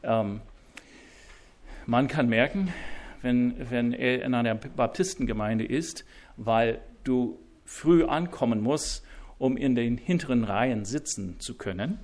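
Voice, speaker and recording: Guest preacher, male, English-speaking accent, slow and gravelly, stumbling through fillers with a self-deprecating wit. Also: mid-range voice, a little mellow. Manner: halting, many fillers and restarts. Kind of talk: sermon introduction. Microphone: wireless mic.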